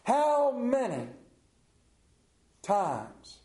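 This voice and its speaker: A man's voice: very high voice, wildly swooping pitch, extremely slow, mellow. A middle-aged man with an Appalachian accent, a television pastor preaching a sermon in a folksy, storytelling way full of rhetorical questions. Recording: roomy echo.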